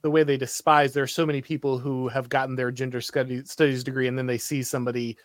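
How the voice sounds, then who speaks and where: A man's voice: medium pitch, little pitch movement, fast, dry recording. Man, American accent, host, sarcastic asides, dry recording. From United States. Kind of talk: casual political discussion.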